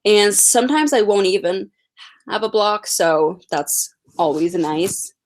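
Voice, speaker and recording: A young woman in her late teens speaks with a stutter, repeating sounds and pausing on blocked words. She talks at an unhurried pace in a mid-range voice, casually answering viewers' questions on a livestream. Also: mid-range voice, expressive. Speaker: livestream host.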